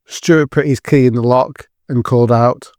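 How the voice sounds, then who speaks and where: A man's voice: mid-range voice, steady tone, normal speed, darkish tone, no room sound, clean studio sound. A man giving scripted narration as the storyteller of an audio drama.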